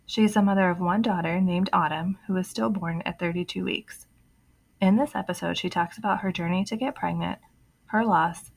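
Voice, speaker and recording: Podcast host, woman, reads the intro steadily with a husky, gravelly texture. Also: mid-range voice. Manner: steady, read-out delivery. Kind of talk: scripted show intro.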